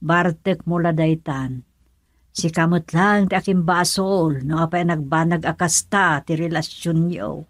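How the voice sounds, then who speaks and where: A woman's voice: low voice, fairly even intonation, unhurried, no room sound, studio quality. A woman acting in an Ilocano radio drama as a worried middle-aged mother, fretful and admonishing. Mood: worried scolding. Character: worried, scolding mother of a grown son.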